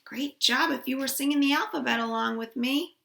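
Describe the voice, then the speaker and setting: A woman hosting a storytime for young children, speaking playfully and simply in an animated way, recorded indoors at home. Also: high voice, a little fast, vocal fry. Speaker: children's storytime host at a library. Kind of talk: children's storytime with songs and rhymes. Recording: roomy, echoing home recording.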